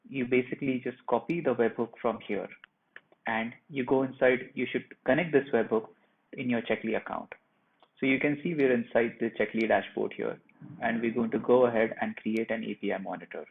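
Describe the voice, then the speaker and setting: A man presenting a software tutorial, narrating each step of a screen share in a plain, instructional way. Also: medium pitch, fairly even intonation, brisk, gravelly, slight background noise. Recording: muffled phone-like narrow band.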